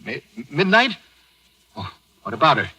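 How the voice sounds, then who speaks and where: A man's voice: fairly high pitch, big pitch swings, unhurried, pushed and bright, slightly rough, full character voice. An actor playing an intense, vengeful hard-boiled man in an old radio thriller, clipped and urgent. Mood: tense, insistent. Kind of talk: 1940s radio thriller acting. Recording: old, harsh radio drama recording.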